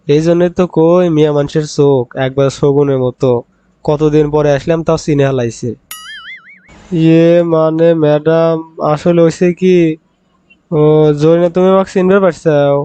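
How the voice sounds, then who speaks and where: A man acts out a young male cartoon ex-boyfriend with a smooth, fairly high voice and a rural Bangladeshi accent. He pleads and excuses himself like a wronged job seeker, over faint music. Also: fairly even intonation, moderate pace, pauses often, dark tone, lo-fi sound, close to an everyday voice. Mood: dismayed. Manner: pleading, aggrieved, self-excusing. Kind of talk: cartoon comedy dialogue.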